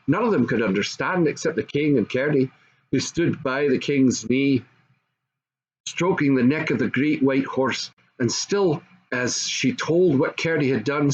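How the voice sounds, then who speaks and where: An older man reading a classic fairy story aloud as a storybook narrator. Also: a little high. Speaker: storybook reader. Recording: dull home recording with faint noise.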